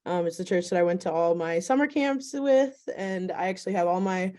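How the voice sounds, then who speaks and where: Young woman, low and mellow, casual. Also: animated, a little fast. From Minneapolis, Minnesota. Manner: casual, many ums. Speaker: youth program coordinator. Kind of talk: casual panel remarks.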